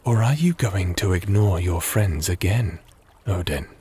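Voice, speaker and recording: Husky, low, stern male comrade acted out. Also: animated, a little slow, dark tone, exaggerated voice. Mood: reproachful. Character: stern, blunt male comrade pressing for the truth. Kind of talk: dramatic audio roleplay acting.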